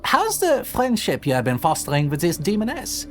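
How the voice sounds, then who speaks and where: A man voicing a brooding, protective male vampire lord in an audio drama, smooth and lilting, sinking into low menacing murmurs. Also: wildly swooping pitch, moderate pace. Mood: calm, scheming. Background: soft background music.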